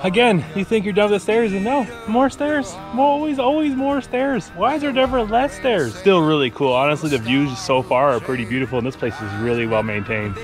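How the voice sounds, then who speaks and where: An upbeat young man with a slightly high voice, vlogging outdoors on a forest trail with the camera held at arm's length. He narrates cheerfully and jokes about the climb, with soft music playing underneath. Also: animated, fairly quick, few pauses. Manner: upbeat, joking about the stairs. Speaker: travel vlogger. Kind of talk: travel vlog narration.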